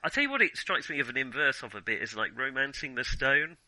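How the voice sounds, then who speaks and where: A man's voice: medium pitch, big pitch swings, fast, bright, forceful tone, no room sound, rough recording. A middle-aged British man co-hosting a film podcast in casual conversation, hesitant and thinking aloud as he goes.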